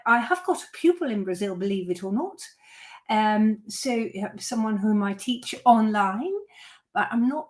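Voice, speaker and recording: A woman's voice: mid-range voice, a little mellow. A woman from the Netherlands, a violin teacher, chatting through viewer questions on a live stream, with many fillers and restarts.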